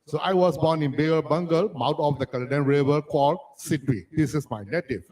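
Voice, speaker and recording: Halting Arakanese-speaking man from Myanmar. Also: on the high side, a little mellow. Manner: halting, restarts sentences, non-native phrasing. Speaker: panelist. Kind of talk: personal story at a panel discussion.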